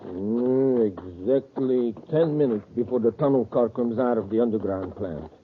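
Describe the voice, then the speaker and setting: A man's voice: medium pitch, measured pace, lightly acted. A man acts out a vengeful male saboteur from a 1950s radio serial. He is cool, calculating and commanding, giving orders like a plotter. The sound is thin and phone-like, with audible noise. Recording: noisy, tinny old-time radio recording.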